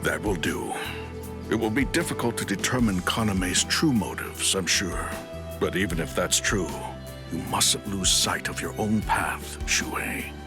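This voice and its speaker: An actor playing an honorable, loyal warrior captain in an anime game, speaking in a grave, formal, earnest and sorrowful way. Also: male voice, low-pitched, lively intonation, measured pace, music in the background. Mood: solemn, firm. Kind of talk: scripted anime game cutscene dialogue.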